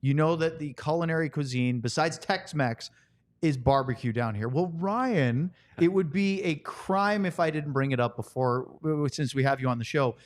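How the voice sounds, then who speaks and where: A man's voice: a little high, normal speed. A man hosting a sports talk show in a casual, chatty way.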